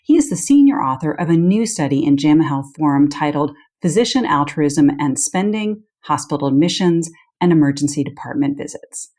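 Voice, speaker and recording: A woman's voice: low voice, animated, brisk, hardly any pauses, dark tone. A woman co-hosting a podcast as a deputy editor, introducing and interviewing a guest. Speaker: co-host, deputy editor and professor.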